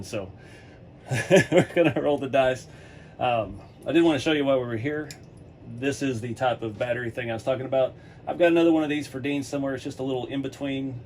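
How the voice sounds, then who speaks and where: A man hosting a casual hobby tutorial from his garage workbench, talking in a laid-back, chatty way with chuckles. He sounds a little hoarse, as if he is losing his voice. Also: mid-range voice, a little mellow, slight background noise. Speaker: RC hobby channel host. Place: home garage workshop.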